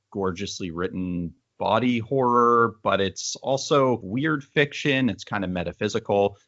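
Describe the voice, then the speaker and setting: Very low-voiced man co-hosting an interview. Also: creaky, fairly bright tone, dry recording, muffled recording. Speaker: interview co-host. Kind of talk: casual interview chat.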